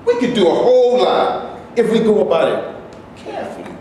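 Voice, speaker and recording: Guy acting as earnest determined stage-play man, super high voice that swings around, echoey room. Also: big pitch swings, moderate pace, warm tone. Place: indoor room, live staged reading. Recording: echoey room, faint noise.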